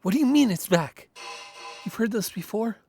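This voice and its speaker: A man's voice: high-pitched, lively intonation, normal speed. A man playing an uneasy young man in an audio drama, anxious and questioning. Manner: questioning, anxious, casual profanity. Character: uneasy young man, Greg, unsettled by strange noises. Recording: music under the voice.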